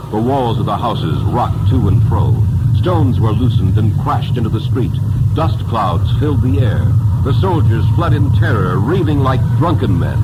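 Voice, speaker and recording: A man reading a story as a dramatic narrator, solemn, reverent and measured. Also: lowish voice, muffled recording, very noisy. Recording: music under the voice. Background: music.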